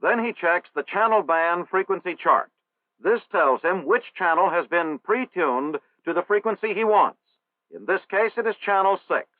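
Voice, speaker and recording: Guy narrating an old army training film, kinda high voice, tinny dry sound. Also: animated, no echo. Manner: formal, measured, instructional.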